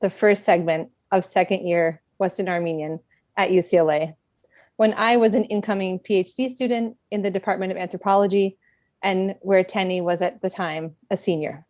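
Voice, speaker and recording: A woman in middle age, reading a prepared personal talk at a book event in a reflective, measured way with gentle self-deprecation. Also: medium pitch, steady tone, no echo, clean studio sound. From United States.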